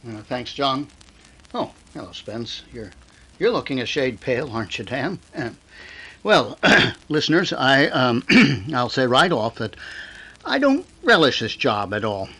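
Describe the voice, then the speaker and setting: An actor playing a nervous, wry radio show director with a halting manner, in a 1930s-style radio drama. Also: male voice, mid-range voice, very animated, pushed and bright, full character voice, poor audio. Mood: uneasy, wry. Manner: hesitant, throat-clearing, wry.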